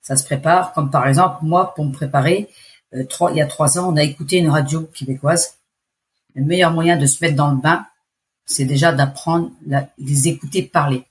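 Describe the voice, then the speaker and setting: A woman in her forties with an accent from France, telling her story in a casual interview, chatty and halting. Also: low voice, fairly even intonation. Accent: French from France. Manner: chatty, hesitant, restarts phrases. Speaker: expat interviewee.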